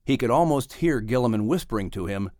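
A man reading a children's story as an audiobook narrator, with expressive, dramatic delivery. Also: mid-range voice, brisk, no echo, studio quality.

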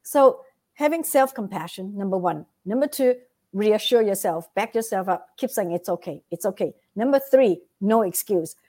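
A woman's voice: mid-range voice, animated, moderate pace, mellow. A woman with a Vietnamese accent, a guest giving earnest motivational advice and often checking in with "right?". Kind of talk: motivational interview talk.

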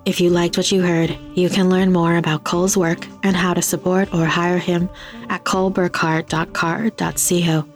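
Female announcer, lower register, flat even delivery, scripted outro read with faint music underneath. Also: moderate pace. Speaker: podcast announcer reading credits.

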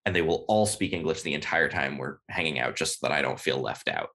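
An American man, a podcast guest, talking casually and thoughtfully in an interview, often pausing with "uh" and "you know". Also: extremely low pitch, expressive, creaky, sharp tone. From United States. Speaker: podcast guest, game writer.

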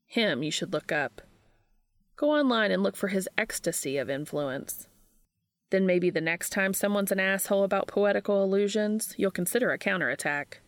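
An easygoing, bookish young male student read by a woman in a story. The delivery is casual, assured and a little dismissive, with a gravelly edge and a dry, close sound. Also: mid-range voice, animated, normal speed, no room sound, lightly acted. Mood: relaxed, encouraging.

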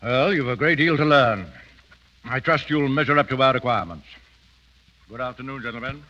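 An actor playing a stern, rigid older British colonel, clipped and commanding, in a 1930s radio drama. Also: male voice, medium pitch, fairly quick, pushed and bright, natural voice. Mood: cold, formal. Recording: dull vintage 1930s radio recording.

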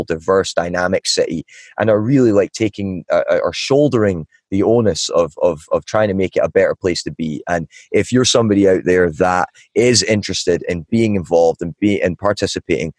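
Deep-voiced Scottish Highland man. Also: a little mellow, dry recording. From Scotland. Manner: rambling, many restarts and fillers. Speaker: guest, nightlife advocate. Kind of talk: casual podcast interview.

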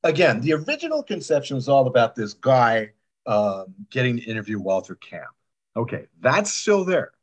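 A man's voice: medium pitch, sing-song, moderate pace. A man talking casually as a producer in an interview, digressive and emphatic, often tagging points as questions. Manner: digressive, emphatic, checks in with "right?". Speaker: podcast drama producer.

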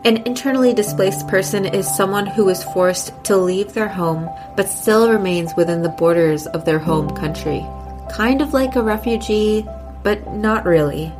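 A woman's voice: mid-range voice, normal speed, over music. A woman hosting a podcast, opening with a scripted introduction and talking in an enthusiastic, upbeat way. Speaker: podcast host. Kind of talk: scripted intro then interview.

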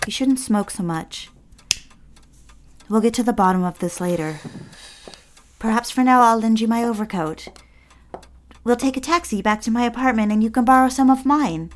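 Actress as prim young woman, fairly high voice, earnest, coaxing, solicitous, lonely, audio drama. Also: a little hiss or hum, lightly acted.

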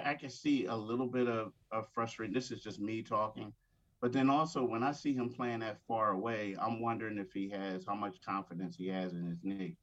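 Man talking sports, slightly dull sound. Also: mid-range voice. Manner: halting, repeats words while thinking. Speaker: sports beat writer, guest. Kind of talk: casual sports talk with playful ribbing.

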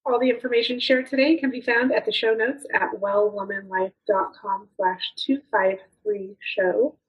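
A woman's voice: mid-range voice, husky. A woman hosting a podcast, giving the show's introduction and announcements in an upbeat, promotional way. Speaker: podcast host. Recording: tinny phone-like line.

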